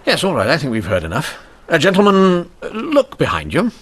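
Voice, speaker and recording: An actor playing a measured, dryly witty Victorian police inspector in a radio mystery drama. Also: male voice, on the high side, huge pitch swings, a bit bright, full character voice. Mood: cool, wry.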